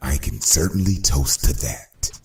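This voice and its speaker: An actor playing a suave, menacing crime boss with a measured, formal, theatrical manner in a motion comic. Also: male voice, rumbling low, darkish tone. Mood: smug probing. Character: suave, menacing male crime boss villain, probing and polite.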